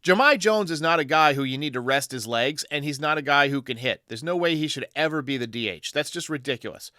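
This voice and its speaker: A man's voice: on the high side, expressive, a little fast, bright, forceful tone, dry recording, clean studio sound. A man hosting a podcast, answering fan voicemails with emphatic, opinionated sports talk. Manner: emphatic, argumentative.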